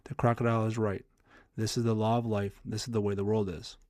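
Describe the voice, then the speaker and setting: A man's voice: on the low side, flat delivery, fairly quick, a little mellow, dry recording, studio quality, lightly acted. A man voicing a worn-out, bitter old donkey in a fable, complaining about his hard life. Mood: aggrieved, resigned.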